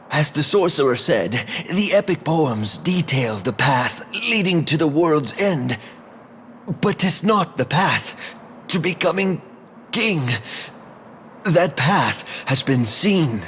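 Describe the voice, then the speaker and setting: Casting: dying aged sage for a fantasy game, strained, urgent, very slow, widely varied pitch. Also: male voice, fairly bright tone, a little hiss or hum, heavily acted. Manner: halting, strained, swooping theatrical delivery. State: weak and gravely injured. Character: dying elderly scholar, grave, urgent and devoted. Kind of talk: scripted video game voice acting.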